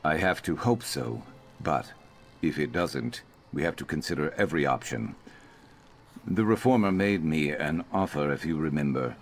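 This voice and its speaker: An actor playing a grim, determined former slave companion in a video game, measured and earnest with dry humour. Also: male voice, extremely low pitch, lively intonation, faint music behind. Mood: grave, resolute.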